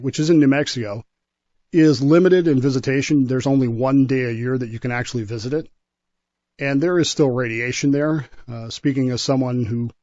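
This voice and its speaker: A man's voice: mid-range voice, darkish tone, dry recording. A man explaining history in an emphatic, conversational way, as a guest expert on a radio show. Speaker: former nuclear submarine serviceman.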